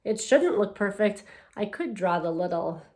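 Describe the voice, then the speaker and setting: A woman teacher leading a read-aloud and art lesson for children, speaking in simple, gentle, encouraging phrases. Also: medium pitch, animated, normal speed. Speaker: elementary school teacher.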